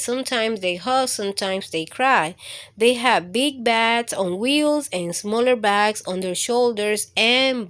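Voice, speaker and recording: A woman hosting storytime for children, reading aloud with deliberate phrasing and speaking straight to her young listeners. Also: on the high side, fairly bright tone.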